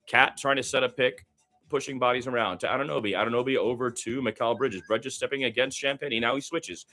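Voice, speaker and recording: A man giving live radio-style basketball play-by-play, excitable in fast action and conversational between plays. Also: mid-range voice, soft background music.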